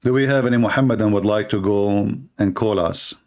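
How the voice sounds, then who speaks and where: A man's voice: lowish voice, fairly quick, a little mellow. A man hosting a live stream, talking in a sarcastic, mocking way and mimicking others in funny voices. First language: Arabic.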